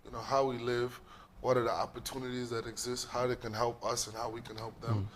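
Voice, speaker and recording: A man from Ghana and New York giving explanatory interview answers as an executive guest, in short, deliberate phrases. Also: medium pitch, level tone, a little hiss or hum, poor audio.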